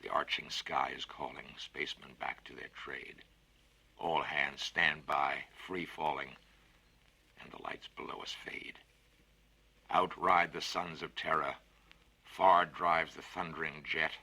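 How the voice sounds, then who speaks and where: Man voicing a solemn, very deep spaceman poet. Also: fairly even intonation, creaky, bright, forceful tone, husky, full character voice. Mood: stirring, solemn. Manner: slow, solemn, drawn-out recitation. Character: doomed male spaceman poet reciting wistful verse.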